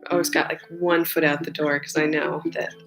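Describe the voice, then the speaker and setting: A woman's voice: low voice, little pitch movement, a little fast, gravelly, over music, poor audio. A singer-songwriter woman chatting casually between songs at a concert streamed from her home, rambling and chatty with lots of fillers. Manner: rambling and chatty, many fillers and side remarks.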